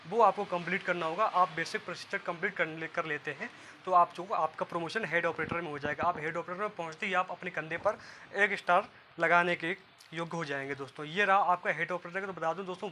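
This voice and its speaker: Fast, high-pitched man explaining. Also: pushed and bright, slight background noise. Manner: repetitive step-by-step explaining, addressing listeners as friends. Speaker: presenter of an exam and career guidance video. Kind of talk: informal explainer addressed to viewers.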